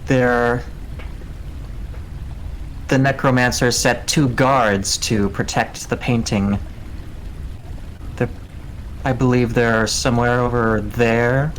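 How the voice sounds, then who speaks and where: A man voicing a courtly, self-assured ratman plague cultist who speaks in formal, measured phrases for a tabletop game. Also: medium pitch, fairly even intonation, slight background noise, lightly acted, rough recording. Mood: businesslike, devout.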